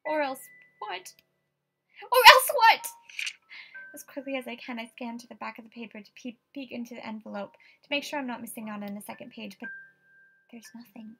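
A frightened, superstitious young woman from a horror game, acted out by a woman. Her voice is high with a marked creak, and her delivery is dramatic and tremulous. Music plays clearly behind her. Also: lively intonation, normal speed, bright, forceful tone, exaggerated voice. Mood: panicked, agitated. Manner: dramatic, tremulous, breathy asides, outbursts. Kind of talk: horror visual novel voice acting.

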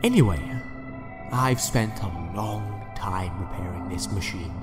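An actor in an audio drama playing an ancient, sinister scientist emperor with a measured, condescending courtesy. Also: male voice, on the low side, music in the background, close to an everyday voice. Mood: coolly polite. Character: ancient, sinister male scientist emperor, coldly polite villain.

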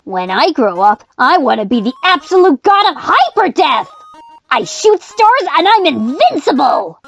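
A woman's voice: high-pitched, very animated, a little slow, faint music behind, heavily acted. A woman voicing an earnest, easily exasperated young child in a comedic comic dub, hesitant and pleading. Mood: innocent, eager.

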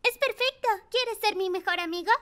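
Tiny, high-pitched curious little girl. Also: moderate pace, fairly bright tone. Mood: delighted. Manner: childlike, wide-eyed, exclaiming. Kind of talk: children's cartoon dubbing. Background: music.

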